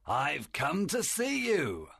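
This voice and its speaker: A man voicing a sly, menacing old seaman who speaks slowly and with a threat behind it. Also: a little high, huge pitch swings, creaky, fairly bright tone, slightly rough, dry recording, clean studio sound, pitched above the performer's own voice, heavily acted.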